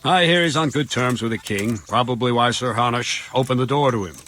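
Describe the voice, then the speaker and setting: A man plays a grumbling but dutiful guard captain in a medieval game. His middling voice is blunt and matter-of-fact. Music runs quietly underneath. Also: medium pitch, fairly quick, lightly acted. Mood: grumbling, informative. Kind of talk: video game dialogue acting.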